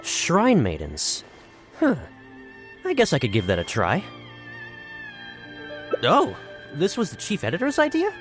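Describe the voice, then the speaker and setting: A dramatic, scatterbrained writer in a video game. His pitch swings wildly as he hems, exclaims and puts himself down. There is music behind him. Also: wildly swooping pitch, a little slow, long pauses. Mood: discouraged. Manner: hesitant, exclamatory, self-deprecating.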